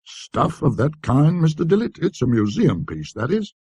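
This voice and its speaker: A man's voice: a little high, very animated, normal speed, mellow, slightly rough, dry recording, pitched above the performer's own voice, exaggerated voice. A man voicing a shrewd, deferential antique dealer with folksy, ungrammatical sales patter, in a storybook reading. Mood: persuasive, earnest.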